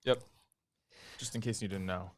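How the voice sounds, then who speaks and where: A man's voice: on the low side, steady tone, moderate pace. A man co-hosting a stock market livestream, laid-back and mostly giving short agreeing replies. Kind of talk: casual investing talk on a livestream.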